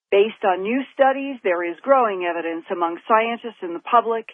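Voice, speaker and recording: A woman reads a scripted science report in a measured, deliberate manner. Her pitch is middling. The audio is dry and narrow, like a phone line. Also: no room sound.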